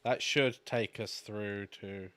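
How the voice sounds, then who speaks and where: A man co-hosting a casual live stream, easygoing and quick to laugh. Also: lowish voice, steady tone, a bit bright. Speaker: co-host.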